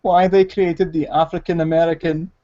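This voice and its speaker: A man's voice: fairly high pitch, flat delivery, normal speed, dark tone. A man co-hosting a podcast, tossing in short wisecracks. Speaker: podcast co-host. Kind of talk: casual banter. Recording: dull lo-fi audio.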